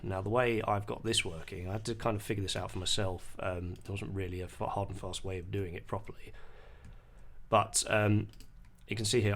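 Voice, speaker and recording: A man explaining music software in an unscripted tutorial, hesitant and pausing mid-sentence as he goes. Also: lowish voice, creaky. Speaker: music producer giving a tutorial. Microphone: voice-over on a screen recording.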